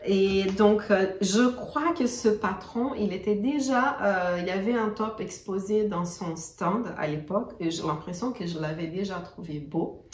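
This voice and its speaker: A woman whose first language is Portuguese, chatting casually about her knitting projects with many pauses and restarts. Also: medium pitch. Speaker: knitting podcaster. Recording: echoey room, mic at a distance.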